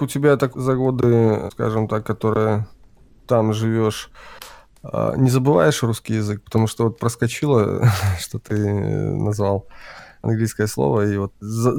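A man interviewing, asking questions haltingly and searching for words. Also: fairly low pitch, vocal fry, mellow, dry recording. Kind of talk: casual interview.

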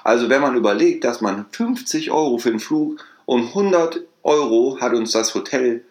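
A man's voice: mid-range voice, animated, normal speed, a little mellow. A young man co-hosting a casual podcast chat, rambling with lots of restarts and fillers. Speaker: podcast co-host. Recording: roomy echo.

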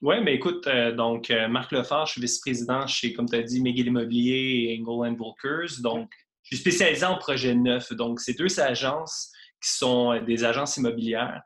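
A man's voice: medium pitch, normal speed, a bit bright. A man in his thirties from Quebec with a Quebec French accent, chatting at ease as a podcast guest, with many fillers and restarts. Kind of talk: casual remote podcast interview.

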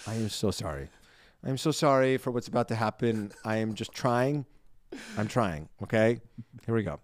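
A young man co-hosting a podcast, reading listener reviews in a lively, self-deprecating chat and laughing easily. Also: fairly low pitch, normal speed, no echo. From Ireland. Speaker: podcast co-host.

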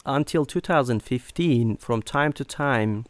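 A middle-aged man from Turkey, speaking as an interview guest in a halting way with many fillers. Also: medium pitch, no room sound. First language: Turkish. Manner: hesitant, many fillers and restarts. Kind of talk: conversational interview.

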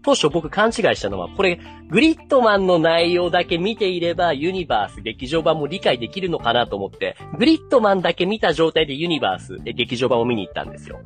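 Animated, fast-talking man, music behind. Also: on the high side. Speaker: podcast host. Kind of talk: casual podcast chat, explaining.

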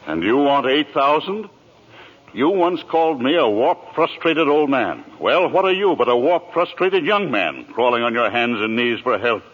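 Old miser banker, cold and sneering, widely swooping delivery, muffled vintage radio drama sound. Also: male voice, medium pitch, sing-song, normal speed, husky. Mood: cold probing. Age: older. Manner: sneering, hectoring. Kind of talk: 1940s radio drama acting. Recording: muffled hissy old radio recording, reverberant.

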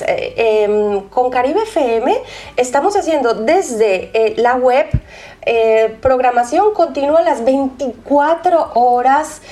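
High-voiced, laughing woman radio host. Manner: energetic, laughs often, quick bursts of speech. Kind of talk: lively radio interview. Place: radio studio. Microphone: studio microphone.

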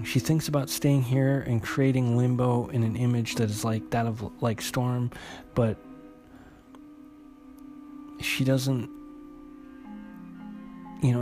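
A man narrating an unscripted recap of a story as a host, in a halting way, often repeating and restarting his phrases. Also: medium pitch. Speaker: host retelling a comic book story.

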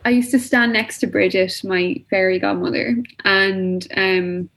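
A young woman chats loosely on a podcast, swearing freely as she rambles through stories. Her voice sits at a medium pitch for a woman. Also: level tone, measured pace, vocal fry, fairly bright tone. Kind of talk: casual chat.

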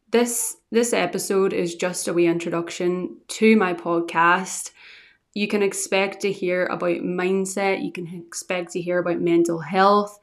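A young woman with a Northern Irish accent that has picked up some Scottish tones talks into a podcast microphone. Her voice sits a little low for a woman. She chats in a rambling, earnest way as a solo podcast host. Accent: Northern Irish, softened by Scottish. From Northern Ireland.

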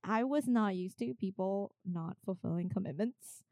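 A woman's voice: mid-range voice, moderate pace, no echo. A young woman from China, chatting casually as an interview guest and acting out bits of dialogue as she tells stories. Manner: animated, acts out reported dialogue. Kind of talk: casual conversational storytelling.

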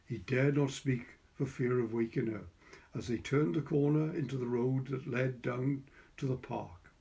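A man's voice: mid-range voice, fairly even intonation, a little slow, vocal fry, warm tone. A man with a Welsh accent reading a literary short story as narrator. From Wales.

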